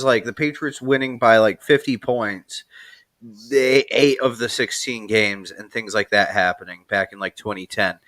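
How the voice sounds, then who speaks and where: Man from New England, football show host, medium pitch, rambling, rephrasing, casual, dry recording. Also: sharp tone, dry recording. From New England, United States. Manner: halting, rambling, rephrases as he goes.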